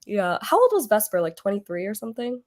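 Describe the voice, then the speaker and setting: A woman hosting a casual book club chat over a video call, losing her voice as she gets over an illness, chatty and quick to laugh. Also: mid-range voice, sing-song, a little mellow. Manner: chatty, laughs often, trails off.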